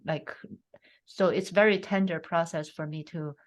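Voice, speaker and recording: A woman from China whose first language is Chinese, hosting a reflective conversation, speaking slowly and haltingly with many fillers. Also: fairly low pitch, fairly even intonation. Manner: slow, halting, soft-spoken with frequent fillers and laughs. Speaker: host.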